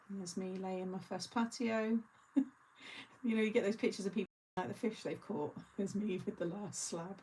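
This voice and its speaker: Woman, middle-aged, rambling craft host, lowish voice, some fry. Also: darkish tone, slight background noise, low-quality recording. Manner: chatty, laughs often, rambling asides. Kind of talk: casual live chat.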